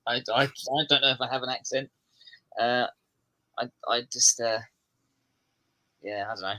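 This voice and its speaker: A man with a southeast English accent chats loosely as a podcast guest, trailing off and restarting his sentences. His voice sits at a middling pitch with a clear, bright tone. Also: normal speed. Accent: English, southeast England. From England. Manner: rambling, restarts sentences, self-deprecating humour. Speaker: podcast guest, metal musician. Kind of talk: casual podcast chat.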